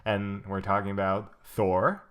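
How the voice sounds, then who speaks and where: A man's voice: lowish voice, flat delivery, unhurried, a bit bright, smooth. A young man co-hosting a podcast in casual chat, rambling with lots of ums and uhs and frequent laughter. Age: young adult. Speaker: podcast co-host.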